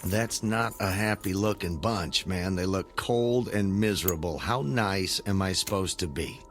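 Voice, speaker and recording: A man's voice: low voice, unhurried, soft background music. An actor playing a skeptical, wisecracking everyman brother, talking in a colloquial, questioning way in a dramatic audio play. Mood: sarcastic, wary.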